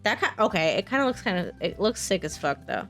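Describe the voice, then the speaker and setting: An American woman speaks off the cuff in a medium-pitched voice. Her delivery is informal and candid, often halting as she works through a thought. Faint music plays behind her. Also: big pitch swings, a bit bright. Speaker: video creator and mod developer. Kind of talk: casual, unscripted talk to camera.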